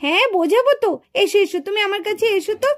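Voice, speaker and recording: A woman voicing a soothing, indulgent village aunt in a children's puppet cartoon, coaxing and gently chiding as she talks. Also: extremely high pitch, animated, rapid-fire.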